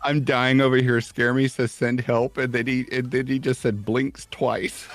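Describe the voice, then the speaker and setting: A man's voice: medium pitch, level tone, normal speed. A man from Kentucky in casual chat, reading out viewer messages and laughing easily. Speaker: stream guest reading chat.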